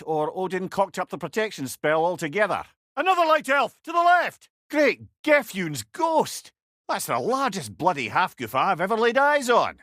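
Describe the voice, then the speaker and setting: An actor with a Scottish accent voicing a wry, eloquent old talking-head companion in a video game. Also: male voice, on the high side, fairly bright tone, no room sound, studio quality, heavily acted. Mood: subdued, gentle, then urgent warning, then astonished. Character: witty, talkative old male severed-head companion, wise and wry. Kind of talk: video game character acting.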